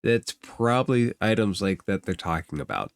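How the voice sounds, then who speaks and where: A man narrating a tabletop game as game master in a halting, informal, conversational way. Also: lowish voice, expressive, vocal fry, no room sound.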